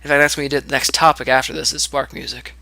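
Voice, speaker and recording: A man's voice: medium pitch, little pitch movement, fast talker, gravelly, bright, forceful tone, dry recording. A man co-hosting a casual podcast chat, upbeat and teasing. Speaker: co-host.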